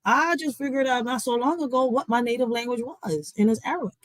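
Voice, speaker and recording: An American vlogger talks straight at the audience in a preachy, urging tone. The sound is dry and close, with no room around it, and the pitch sits in a middle range. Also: brisk, no echo. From United States. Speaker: vlogger commenting on a clip. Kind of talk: casual commentary to viewers.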